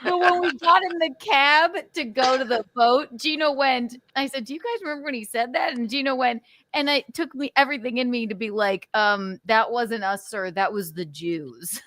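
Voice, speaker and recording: A woman's voice: high voice, normal speed, sharp tone, no echo. A woman hosting a casual comedy stream, telling stories with a giggle and acting out what people said. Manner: storytelling, giggly, acts out quotes. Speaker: host.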